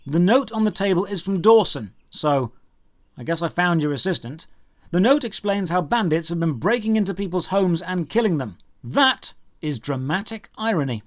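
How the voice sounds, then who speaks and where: A man delivering a scripted comedic video game review in a sarcastic, mock-incredulous manner. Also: sits high.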